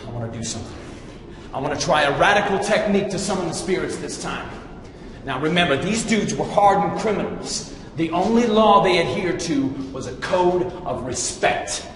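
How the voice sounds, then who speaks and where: Brash ghost hunter in echoing hall. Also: male voice, high-pitched, lively intonation, moderate pace. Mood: hushed intensity. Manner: dramatic, taunting bravado with slang. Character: brash, cocky male TV ghost hunter, theatrical. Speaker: paranormal investigator. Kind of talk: live-action video game cutscene acting. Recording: roomy echoing hall, faint noise.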